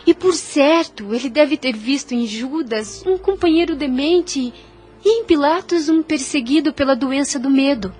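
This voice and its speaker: A Brazilian actress playing a gentle, devout, self-sacrificing young daughter in an audio drama, speaking in earnest, formal phrases. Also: female voice, sits high, normal speed, close to an everyday voice. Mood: earnest compassion. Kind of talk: Brazilian radio drama acting.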